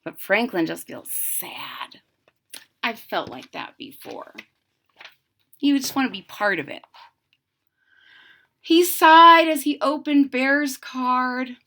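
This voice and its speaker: A woman's voice: high-pitched, expressive, takes it slowly, pauses often, bright, forceful tone. A woman reading a children's picture book aloud expressively, adding simple casual asides to explain things to young listeners. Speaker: storybook reader.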